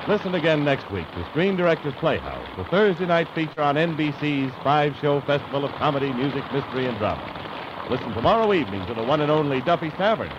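A man's voice: on the high side, sing-song, fast talker, highs cut off, noisy background. A man with an American accent announcing in a scripted 1950s radio style. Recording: vintage radio broadcast with music.

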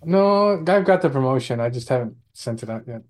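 A man with a medium-pitched voice talks in a casual, conversational way. He sounds like a regular in an informal group radio chat. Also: lively intonation, a little fast.

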